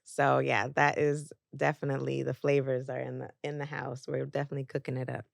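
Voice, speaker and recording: A woman's voice: a bass voice, flat delivery, fairly quick, vocal fry, dry recording, studio quality. A young adult woman as a podcast guest, talking casually with lots of fillers and easy laughter. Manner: warm storytelling with frequent fillers and laughs. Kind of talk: casual interview chat.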